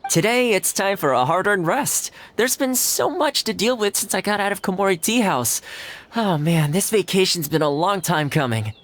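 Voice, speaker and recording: An actor playing a friendly, easygoing young male retainer in a video game, talking in a relaxed, chatty way. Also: sits high, normal speed. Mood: cheerful. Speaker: game character.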